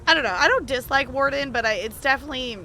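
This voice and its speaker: A woman's voice: sits high, fast talker, bright, forceful tone, slight background noise. A woman chatting casually on a game livestream, rambling and hesitant as she thinks aloud. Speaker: game streamer.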